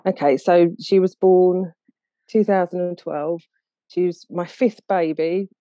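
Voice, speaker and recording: Essex woman chatting as a guest, soft mellow sound, bit halting, really dry room. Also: lowish voice, steady tone, dry recording, dull audio. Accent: Essex English. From Essex, England. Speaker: podcast guest, charity founder. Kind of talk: casual conversational interview.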